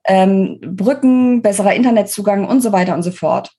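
A woman speaking as an interview guest, giving opinionated, explanatory political analysis in a conversational way, pausing between phrases. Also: medium pitch, dark tone, breathy edge.

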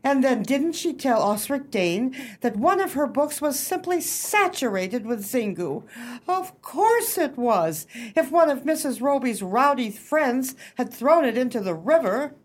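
A fussy society lady who runs a club, played by a woman in radio drama style. Her voice is fairly high and very animated. She talks with suspicious, gossipy emphasis. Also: a little slow, exaggerated voice. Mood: insistent. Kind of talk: radio play acting.

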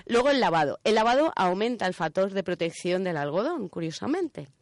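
A woman's voice: medium pitch, sing-song, a little fast, dry recording. Spanish woman explaining casually, dry close sound. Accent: Spain. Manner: colloquial, checks in with listeners using short tag questions. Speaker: radio show presenter of a science segment. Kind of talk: casual explanatory radio chat.

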